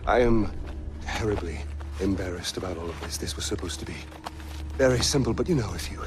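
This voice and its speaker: A man playing a flamboyant, middle-aged tyrant in a video game cutscene, theatrically polite, mocking and volatile. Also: fairly low pitch. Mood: suave, mock apologetic. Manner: theatrical, affected politeness breaking into swearing outbursts.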